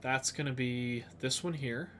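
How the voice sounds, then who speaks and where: A man with a stuffed-up nose, thinking aloud slowly with lots of fillers as he streams a geography game. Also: mid-range voice, fairly bright tone, a little hiss or hum. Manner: slow, musing, thinks aloud with many fillers. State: stuffed up, sniffly. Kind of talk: casual live-stream commentary.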